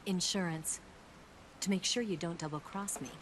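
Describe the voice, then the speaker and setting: A woman's voice: fairly low pitch, moderate pace, a little hiss or hum, lightly acted. An actress voicing a confident, flirtatious female spy in a video game cutscene, teasing and breezy. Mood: coy. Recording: faint music under the dialogue.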